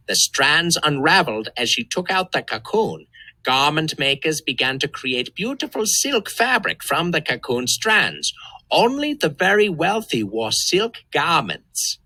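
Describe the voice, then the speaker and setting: Man, scripted educational read-aloud, animated intonation, dry close recording. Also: a little high, no room sound, poor audio. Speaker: narrator.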